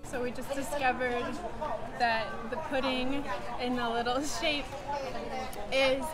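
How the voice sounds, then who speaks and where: A young woman with a high voice presents a casual food vlog in an enthusiastic way. She is outdoors, with music and a busy crowd around her. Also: steady tone, slow. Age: young adult. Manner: upbeat, enthusiastic. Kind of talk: casual travel vlog narration. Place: outdoor night market.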